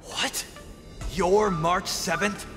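A man's voice: on the high side, animated, moderate pace, close to an everyday voice. A man playing a proud, indignant veteran swordsman in a video game, speaking in a formal, pompous manner. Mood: surprised. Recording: long reverb, music behind. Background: music.

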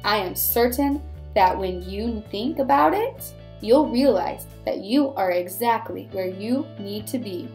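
A woman's voice: mid-range voice, lively intonation, measured pace, darkish tone, soft background music. A woman voicing a wise, kindly male walrus in a storybook, formal, eloquent and reassuring. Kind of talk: storybook read-aloud.

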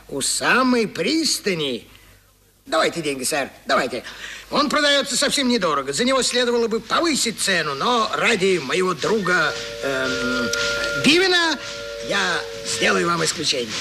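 An actor playing a pushy, wheedling American land swindler in a radio drama, with an insistent salesman's patter and a raspy voice. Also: sits high, slight background noise, heavily acted. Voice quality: raspy, sharp. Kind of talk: 1970s Russian radio drama acting.

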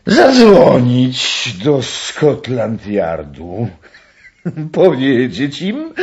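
An actor playing a deranged, gloating murderer in a radio play, theatrical, drawn-out and erratic in his delivery. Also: high voice, muffled recording, heavily acted. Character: deranged, gloating male murderer, obsessed with knives.

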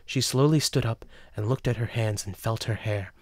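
A man's voice: fairly low pitch, normal speed, darkish tone, no echo, clean studio sound. A man narrating a scripted story for an audio drama.

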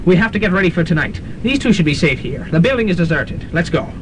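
A man's voice: sing-song, fast. A man playing a pompous, megalomaniac college president who gloats theatrically in a comic radio drama. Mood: gloating. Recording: noisy, rumbling early-1990s student radio recording.

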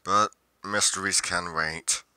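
A man playing a brooding, solitary monster investigator in a roleplay monologue, musing deliberately with pauses mid-sentence. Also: sits low, steady tone, bright, forceful tone, clear, resonant tone, dry recording, full character voice. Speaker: roleplay performer.

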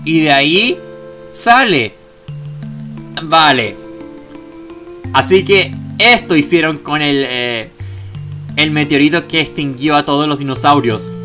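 A man's voice: a little high, slow pace, pauses often, bright, forceful tone, faint music behind. A man hosting a gaming video with casual let's play commentary, full of chatty, jokey asides.

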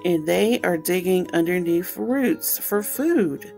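A woman reading a children's picture book aloud as a storytime host, explaining things simply and deliberately for young listeners. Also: fairly low pitch, expressive, creaky, darkish tone, soft background music.

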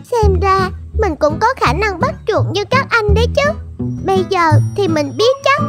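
A woman playing a little pampered young cat in an animated fairy tale. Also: very high-pitched, normal speed. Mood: reflective, pleased. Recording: music prominent behind the voice.

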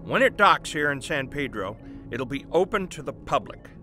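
An actor playing a brisk, persuasive newspaper boss in an audio drama, confident and explanatory. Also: male voice, on the high side, sing-song, music in the background. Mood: brisk enthusiasm. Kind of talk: family audio drama acting.

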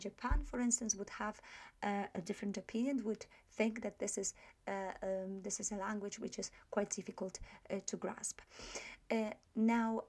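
A woman with a Polish accent talks through an online language lesson in a middle-pitched voice. She speaks in a hesitant way, full of fillers and restarts, as she explains points over her slides. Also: darkish tone. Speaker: language teacher.

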